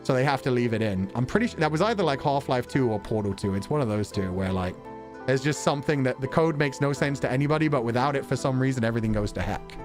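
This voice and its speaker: A man with a mid-pitched, very lively voice chats casually to his livestream audience. His delivery is wry and sarcastic, with offhand swearing. Music plays behind him. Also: fast talker. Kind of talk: casual livestream chat with viewers.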